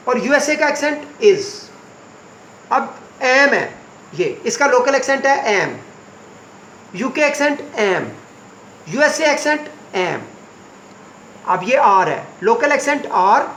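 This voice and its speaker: A man teaching spoken English to beginners, going word by word and repeating each meaning slowly. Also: extremely high pitch, fairly bright tone, reverberant, slight background noise, poor audio. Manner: slow, repetitive word-by-word explanation.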